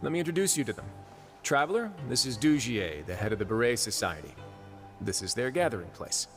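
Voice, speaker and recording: An actor playing a composed, dry-witted duke in a fantasy game, measured and courteous. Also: male voice, mid-range voice, expressive, soft background music, lightly acted. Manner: measured, courteous, dryly understated. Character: composed, dry-witted male duke who runs a prison. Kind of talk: scripted game dialogue.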